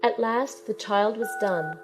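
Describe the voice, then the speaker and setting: A woman's voice: medium pitch, a little slow, music in the background, natural voice. A woman playing a plucky, kind young shaman heroine who narrates her own story in an audio drama. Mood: tense, storytelling. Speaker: narrator and protagonist. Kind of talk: first-person audio drama narration and acting.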